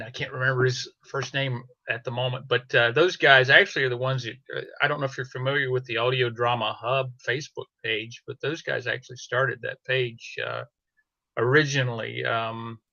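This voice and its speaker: A man chatting casually as a panel guest, halting often as he searches for words. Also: mid-range voice, fairly even intonation, creaky, sharp tone, no echo, muffled recording. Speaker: audio drama podcaster, panel guest.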